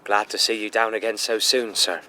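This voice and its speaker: An actor playing a deferential but persistent gamekeeper in a period radio drama. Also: male voice, lowish voice, fairly even intonation, normal speed, pushed and bright, a little hiss or hum. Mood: deferential, insistent.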